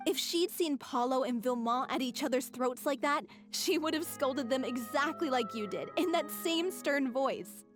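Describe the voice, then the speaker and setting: A gentle young woman from a theatre troupe in a game, played by an actress. She speaks earnestly in a high, soft voice. Faint music sits underneath. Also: normal speed, hardly any pauses, natural voice. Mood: apologetic. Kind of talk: video game voice acting.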